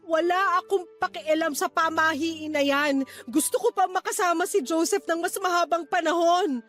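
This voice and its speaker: A woman's voice: extremely high pitch, normal speed, a bit bright, soft background music. A woman playing a grieving, pleading young mother in an emotional Tagalog radio drama.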